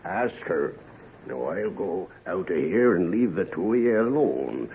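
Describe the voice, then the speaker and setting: An actor playing a genial, humble old gossip in an old-time radio drama. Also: male voice, low-pitched, very animated, measured pace, exaggerated voice. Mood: kindly, confiding. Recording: tinny, noisy old radio recording.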